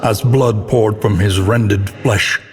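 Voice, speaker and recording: A man's voice: fairly low pitch, fairly even intonation, slightly rough, long reverb tail, soft background music, heavily acted. A man playing a grim, brooding game narrator, telling gothic horror tales in a grave, theatrical, ornate manner. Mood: fervent, ominous. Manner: grave, ornate, deliberately dramatic delivery.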